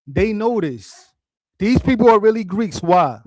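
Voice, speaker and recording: A man's voice: high-pitched, expressive, a little mellow, no room sound. A man leading a group study over a stream, who repeats what is read, explains it and keeps asking his listeners if they follow. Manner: keeps checking in with "right?" and "you feel what I'm saying?"; repeats lines back slowly for emphasis. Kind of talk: casual spoken teaching, read-along commentary. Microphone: streaming setup, close mic.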